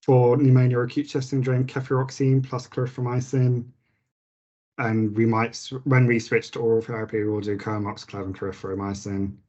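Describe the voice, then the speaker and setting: A man giving an informal clinical teaching session to nurses, his voice slightly croaky, with frequent fillers and restarts. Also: medium pitch, little pitch movement, a little fast, mellow, dull audio.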